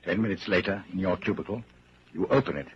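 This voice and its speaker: An actor playing a grave narrator in a 1940s radio drama, speaking with measured, deliberate delivery. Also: male voice, low-pitched, lightly acted. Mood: grave, suspenseful. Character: grave narrator telling the listener his own story in second person. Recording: scratchy, muffled 1940s radio broadcast.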